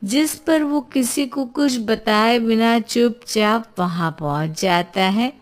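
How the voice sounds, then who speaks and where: Very slow-paced woman storyteller. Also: fairly high pitch. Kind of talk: scripted story narration.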